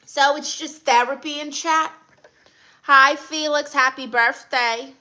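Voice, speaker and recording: Bright-voiced Brooklyn woman chatting on a livestream. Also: high voice, steady tone, slow pace. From Brooklyn, New York, USA. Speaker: livestream host. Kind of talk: casual livestream chat, greeting viewers and reading comments.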